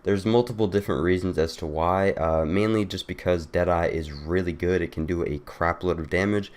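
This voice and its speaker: Low-voiced, congested young man. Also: fairly even intonation, normal speed, a little mellow. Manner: rambling, loose, many fillers and restarts. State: congested, nasal from a cold. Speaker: gaming YouTuber. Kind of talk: casual game guide walkthrough.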